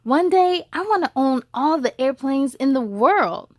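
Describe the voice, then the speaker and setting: A woman's voice: sits high, expressive, unhurried, darkish tone, no room sound, studio quality. A woman voicing a proud, bookish six-year-old in a storybook, making formal declarations.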